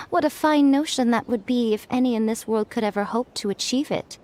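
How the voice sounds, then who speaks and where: A woman's voice: on the high side, moderate pace, darkish tone, slight background noise, natural voice. An actress playing a sly, knowing woman who lectures with teasing wit and amused laughter in a video game. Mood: wry.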